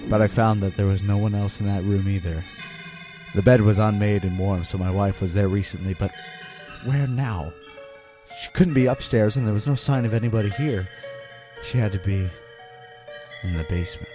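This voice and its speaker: A man's voice: on the low side, natural voice. A man playing a frightened husband and father, recounting a haunting in grave, dramatic first-person horror narration. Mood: tense fear. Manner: grave, dramatic, deliberate phrasing. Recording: loud music under voice.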